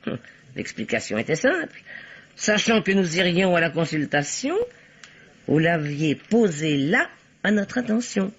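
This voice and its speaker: An actress playing a shrewd, stern spinster sleuth in a vintage French radio mystery, dryly ironic and deductive. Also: female voice, on the low side, very animated, measured pace, breathy edge, heavily acted. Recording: muffled old radio drama recording.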